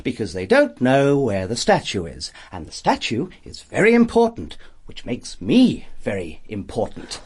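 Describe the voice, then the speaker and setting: Casting: a menacing, cynical thief for radio drama, husky middle-pitched voice, sardonic and insistent, very animated intonation. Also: male voice.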